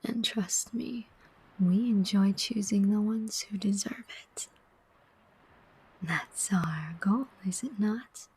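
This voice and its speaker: A woman playing a persuasive, sardonic demon who collects souls, in a whispered ASMR roleplay with deliberate, coaxing phrasing. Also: mid-range voice, a little mellow, slightly rough, poor audio. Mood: sly, righteous. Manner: slow, deliberate, coaxing whisper with pointed questions.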